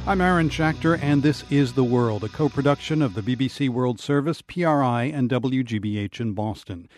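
A man hosting a radio news program, reading scripted introductions in a measured, even delivery. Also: medium pitch, faint music behind.

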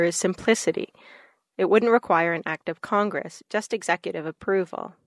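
A woman reporter narrating a radio news story in a calm, steady storytelling manner. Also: fairly low pitch, dry recording, clean studio sound.

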